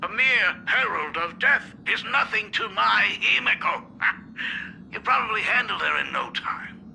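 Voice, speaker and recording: An actor voicing a doting, excitable middle-aged artist father in a video game, theatrical and effusive. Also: high voice, a little slow, gravelly, slight background noise, heavily acted, poor audio. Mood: eager, chuckling. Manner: theatrical, effusive, chuckles often. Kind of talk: animated video game voice acting.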